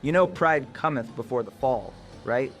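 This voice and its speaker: A man plays a wary young friend in a horror audio drama. He sounds casual, slangy and doubtful, with a medium-pitched voice. Light music sits behind him. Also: animated, moderate pace, lightly acted.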